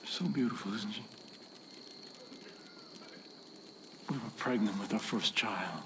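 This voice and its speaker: Actor as low, solemn cult preacher. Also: male voice, fairly quick, background noise, natural voice. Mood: solemn, tender. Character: solemn, devout male cult preacher with unsettling calm. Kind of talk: confessional game cutscene monologue.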